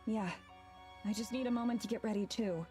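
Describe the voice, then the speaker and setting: A woman's voice: medium pitch, fairly quick, dark tone, faint music behind. A woman reading a visual novel's dialogue and first-person narration aloud.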